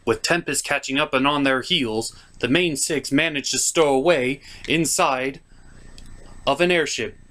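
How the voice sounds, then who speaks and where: A man's voice: medium pitch, measured pace, pushed and bright. A man giving a wry, theatrical scripted comedy narration of a film recap. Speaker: host of a comedic puppet-show movie review.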